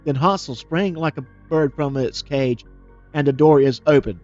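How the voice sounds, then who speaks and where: A man reading a fairy tale aloud as a storyteller, expressive, with small slips and restarts. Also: on the high side, normal speed, dull audio, soft background music.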